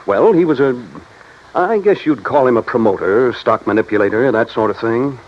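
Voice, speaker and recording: A man's voice: mid-range voice, animated, normal speed, lightly acted. An American actor playing an earnest, businesslike male insurance executive in a 1950s radio drama, explaining things carefully. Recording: muffled vintage radio recording.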